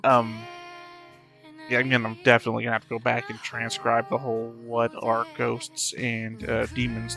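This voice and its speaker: A man giving unscripted reaction commentary as a host, rambling as he thinks out loud. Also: mid-range voice, creaky.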